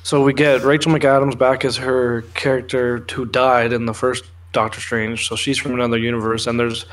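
Flat-toned young man chatting casually. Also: mid-range voice, normal speed. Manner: casual, swears freely. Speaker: co-host of a pop culture news show. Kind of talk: casual chat, explaining film trailers and comics.

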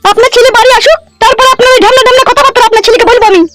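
A woman's voice: very high-pitched, steady tone, very fast. A woman voicing a haughty, defiant young daughter-in-law in a comedy cartoon, snappish and rude. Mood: defiant, scornful. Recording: loud noise behind.

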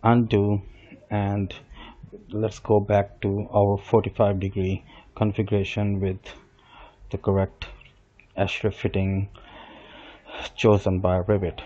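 A middle-aged man giving a screen-recorded software tutorial, explaining each step as he works and often restarting his sentences. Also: lowish voice, level tone, slow, pauses often. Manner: explains step by step while working, with small restarts and self-corrections. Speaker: software instructor. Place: desk with computer, voice-over on screen capture. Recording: dull lo-fi voice recording.

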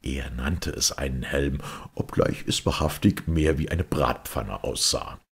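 A man's voice: rumbling low, unhurried, slightly rough. A man reading a scripted storybook narration as an audiobook reader.

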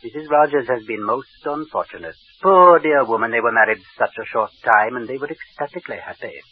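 An actor playing a fussy, ghoulish middle-aged undertaker who talks in a prim, unctuous, sing-song way, in a 1940s radio drama. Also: male voice, mid-range voice, fairly quick, slightly rough. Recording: dull 1940s radio recording.

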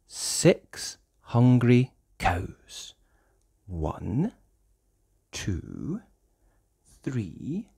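A storyteller reads a picture book for small children at a very slow pace. The pitch swings widely in a sing-song, exaggerated delivery, sitting in a middle register overall. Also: gravelly, slightly rough. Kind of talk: read-aloud for young children, slow counting.

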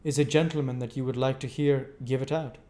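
A man's voice: medium pitch, fairly even intonation, brisk, mellow, pitched above the performer's own voice, close to an everyday voice. A man reading aloud voices a plain-spoken male village blacksmith with homely, hesitant speech.

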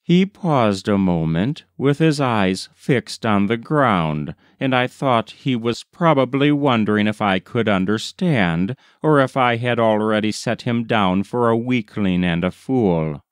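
A man reading a short story aloud as scripted literary narration, in a measured, deliberate storytelling manner. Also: lowish voice, lively intonation, clean tone, no echo, studio quality. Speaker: audiobook reader.

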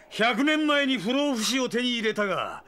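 A man's voice: very high-pitched, bright, forceful tone, a little hiss or hum, heavily acted. An actor playing an arrogant, megalomaniac immortal vampire villain in an anime audio drama, theatrical and sneering, drawing out his words. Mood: manic, exultant. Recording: long reverb.

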